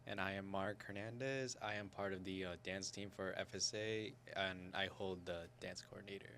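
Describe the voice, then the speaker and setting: Low, flat-toned young man, noisy recording. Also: normal speed. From Florida, born in the Philippines. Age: young adult. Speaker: student club guest, dance coordinator. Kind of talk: casual interview chat. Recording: clear background noise with hum.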